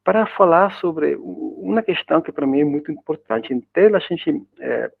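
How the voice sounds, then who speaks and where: A man with a Spanish accent that is mixed with a Brazilian one gives an informal online lecture in Portuguese, keeps adding little tag questions, and often restarts his words. His voice is fairly high and gravelly. The sound is dry and thin, like a phone call. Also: animated, moderate pace, dry recording. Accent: Spanish-accented Portuguese, partly Brazilian. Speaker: guest lecturer. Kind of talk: academic web conference talk. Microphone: video call. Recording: dry tinny video call audio.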